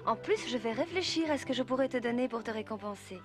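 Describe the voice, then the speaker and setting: A woman's voice: fairly high pitch, animated, quick pace, over music, natural voice. A woman voicing a kind but firm, motherly fairy in a dubbed cartoon, patient and instructive. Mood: encouraging.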